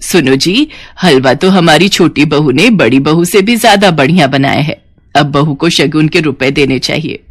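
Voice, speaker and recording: A woman's voice: deep voice, normal speed, voice pushed down. A woman voicing an older mother-in-law in a storybook tale, an approving matriarch giving instructions. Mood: expectant, approving.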